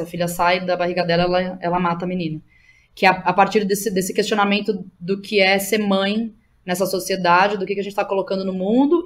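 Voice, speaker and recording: A woman with a Brazilian Portuguese accent talking casually about her own work as an interview guest, informal and slangy, thinking aloud as she goes. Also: medium pitch. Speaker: guest, playwright.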